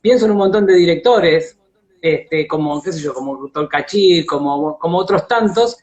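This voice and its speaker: An Argentine man in a casual live online discussion, halting and repeating words as he searches for phrasing, on lo-fi stream audio. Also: fairly high pitch, a little mellow. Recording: dull lo-fi internet stream audio.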